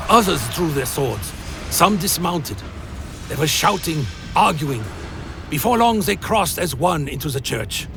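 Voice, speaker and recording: An actor playing a tormented vampire who narrates a gothic horror radio play in a grave, literary manner. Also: male voice, a little high, vocal fry. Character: tormented male vampire recounting his dark journey. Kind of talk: dramatic first-person gothic narration.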